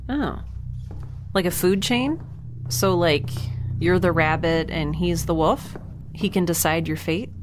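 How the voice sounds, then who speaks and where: Blunt, low-voiced young woman scientist. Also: takes it slowly, noisy background. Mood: curious, blunt. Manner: sarcastic, matter-of-fact. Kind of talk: audio drama acting, naturalistic.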